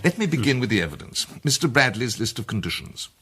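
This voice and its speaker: An actor with an English accent playing an urbane, self-assured gentleman detective, polished and theatrical in a radio drama. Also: medium pitch, big pitch swings, quick pace, slight background noise. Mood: confident, enthusiastic. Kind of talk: British radio drama acting.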